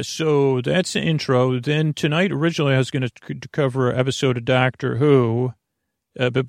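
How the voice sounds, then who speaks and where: Creaky-voiced man rambling at bedtime. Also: medium pitch, no room sound, studio quality. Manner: meandering and halting, trails off and restarts sentences. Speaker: podcast host. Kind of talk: rambling, off-topic bedtime monologue.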